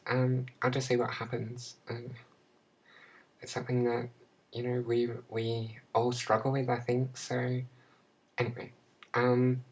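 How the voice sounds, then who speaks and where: A young Australian woman talking to her camera in a casual personal vlog, halting and searching for words with many restarts. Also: a bass voice, low-quality recording. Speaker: vlogger.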